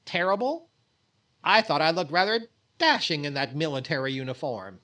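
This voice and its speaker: An actor playing a vain, fussy, mildly exasperated comedy star in 1940s radio style, with a hesitant, dry, indignant delivery. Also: male voice, on the high side, expressive, moderate pace, pushed and bright. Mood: vain, miffed.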